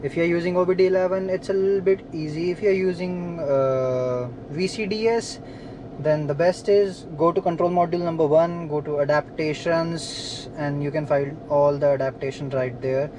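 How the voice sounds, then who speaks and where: A man's voice: a little high, fairly even intonation, normal speed, background noise. A man explaining a car repair step by step while he works inside a parked car. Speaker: DIY car mechanic. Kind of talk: hands-on repair tutorial.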